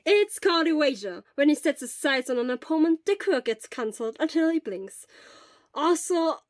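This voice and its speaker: An eager teenage boy student played by a woman reading a story, loud and excitable. The words gush out in a high voice, recorded very dry and close. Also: no echo. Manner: gushing, excitable, rapid.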